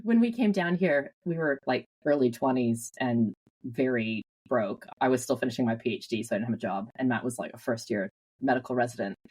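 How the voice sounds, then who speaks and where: A Canadian woman telling stories with lively energy as an interview guest, laughing often. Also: extremely low pitch, brisk, breathy edge, low-quality recording. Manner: animated storyteller, laughs often. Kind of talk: casual interview.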